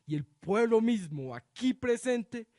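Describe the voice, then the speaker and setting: A self-righteous villain of radio drama, a male regent acted by a man with a high voice. He declaims pompously and cruelly, as one who sits in judgment. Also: big pitch swings, takes it slowly, darkish tone, full character voice. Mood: stern, accusing.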